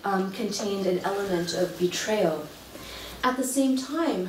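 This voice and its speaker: A woman from Kuwait, an author reading a prepared talk at a library event in a measured, articulate way. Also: mid-range voice, a little mellow, slight background noise. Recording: echoey room, heard from far off.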